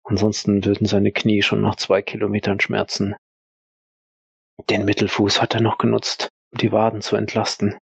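A man narrating an audio role-play in the third person, halting, deliberate and sombre. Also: lowish voice, completely flat delivery, creaky, husky, dry recording, highs cut off.

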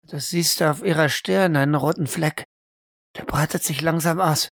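A man's voice: on the high side, steady tone, normal speed, vocal fry, a bit bright, dry recording, clean studio sound. A man narrating an improvised audio drama as game master, in terse, ominous fragments.

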